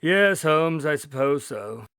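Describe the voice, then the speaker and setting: A man's voice: a little high, lively intonation, slow, creaky, a bit bright, smooth, no echo, clean studio sound, heavily acted. An actor playing a genial male doctor who recounts detective adventures in a radio drama, polite and wry. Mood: wryly patient. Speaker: storyteller and narrator. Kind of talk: modern radio drama acting.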